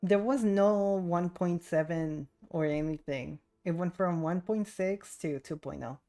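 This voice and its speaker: Female game streamer, relaxed dark-soft tone, playful casual banter, faint game music behind. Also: on the low side, a little slow. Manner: playful, giggly, joking. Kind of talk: casual live-stream chat over gameplay.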